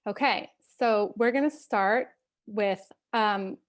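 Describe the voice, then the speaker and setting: A woman's voice: mid-range voice, slow. A woman hosting an online event who stutters often on the starts of words as she introduces the first speaker over a video call. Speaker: event host.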